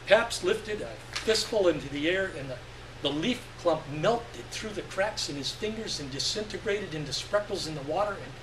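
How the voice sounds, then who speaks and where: A man giving an expressive live reading of literary fiction, with deliberate phrasing and dramatic pauses. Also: sits high. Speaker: story reader. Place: live reading event indoors. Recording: echoey room, distant mic, faint hum.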